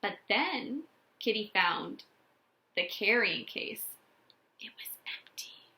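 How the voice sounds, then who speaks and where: A woman teacher reading a children's storybook aloud with expressive, deliberate, dramatic phrasing. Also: mid-range voice.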